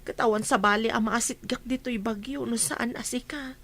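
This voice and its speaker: A woman's voice: fairly high pitch, steady tone, moderate pace, a bit bright. An actress with a Filipino accent playing a humbled, pleading mother in a radio drama. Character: pleading older female cousin, mother of sick child.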